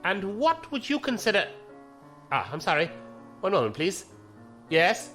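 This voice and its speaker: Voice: medium pitch, very animated, unhurried, fairly bright tone, faint music behind. A performer playing a cold, officious imperial commodore in a science fiction audio drama, with formal, clipped politeness. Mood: cool, formal.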